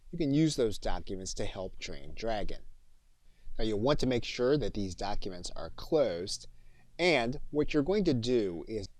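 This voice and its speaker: A man with a fairly low voice narrates a training tutorial. He speaks as an instructor, methodically guiding the listener through each step. The sound is very dry, with no room around the voice. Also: a little mellow, no echo.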